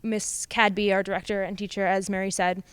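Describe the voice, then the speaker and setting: A woman's voice: medium pitch, little pitch movement, brisk, smooth, no room sound. A young woman, a student actor, giving an explanation in an interview in an informal way. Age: young adult.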